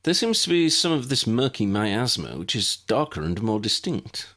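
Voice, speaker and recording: A man voicing a courteous, pedantic old gentleman scholar in an audiobook, wordy, formal and precise. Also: medium pitch, big pitch swings, moderate pace, pitched above the performer's own voice. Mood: measured, precise.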